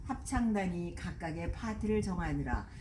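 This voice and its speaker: Older woman, grandmother storyteller, expressive children's narration, animated mid-range pitch, roomy echo. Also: moderate pace. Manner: expressive, acting out dialogue. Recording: echoey room with clear background noise.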